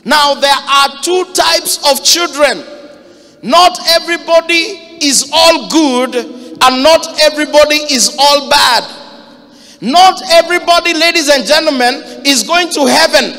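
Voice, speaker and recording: A man in his thirties with a Kenyan accent, preaching a fiery sermon into a handheld mic in a church hall, shouting for emphasis. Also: extremely high pitch, measured pace. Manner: fiery, shouting emphasis, mixes Swahili quotes.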